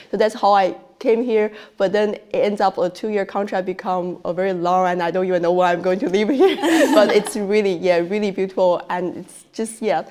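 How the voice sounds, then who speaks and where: A woman's voice: medium pitch, darkish tone. A woman from China with a Chinese accent, whose first language is Chinese, speaking as a researcher guest in a podcast interview with many fillers and restarts.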